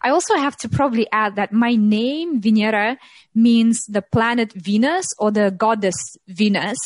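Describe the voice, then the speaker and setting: A woman, telling her story as an interview guest, thoughtful and pausing often. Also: a little high, no room sound. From Russia. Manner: thoughtful, many pauses and ums, light laughs. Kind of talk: reflective interview talk.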